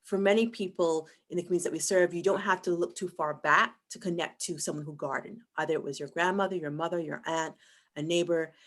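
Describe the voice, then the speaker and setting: Low-voiced woman from Barbados, measured panelist. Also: steady tone, a bit bright. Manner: thoughtful, measured, frequent "um" and "and so". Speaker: museum staff panelist. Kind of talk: online panel discussion.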